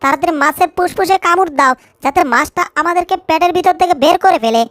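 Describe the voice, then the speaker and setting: An extremely high little cartoon cat voice. The cat is timid and naive, pleading one moment and eager the next. It sounds dry, without room echo. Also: female voice, quick pace, fairly bright tone. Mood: nervous, urging. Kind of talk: cartoon fan dub.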